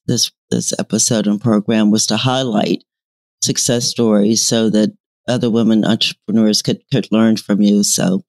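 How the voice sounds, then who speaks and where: A woman hosting an interview podcast, encouraging and unhurried, with halting, searching phrasing. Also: rumbling low, a little mellow, no room sound.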